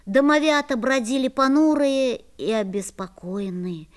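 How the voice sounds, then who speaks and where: A woman voicing a kind schoolboy who narrates a children's radio play with gentle, clear storytelling. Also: high voice, a little slow.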